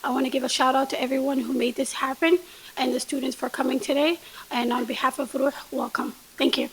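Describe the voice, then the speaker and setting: High, even-voiced woman giving a welcome. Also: brisk, a little hiss or hum, low-quality recording. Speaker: event host, organization vice chair. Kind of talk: formal welcome speech.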